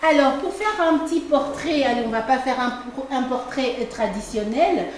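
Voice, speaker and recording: A woman's voice: high voice, lively intonation, moderate pace. A woman hosting a talk show, introducing her guest and asking playful questions. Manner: playful, teasing. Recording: very echoey room with faint noise.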